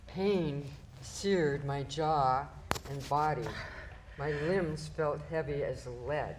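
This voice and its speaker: Low-voiced woman reading aloud. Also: takes it slowly. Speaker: audience volunteer reading a ghost's story. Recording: noisy live room recording.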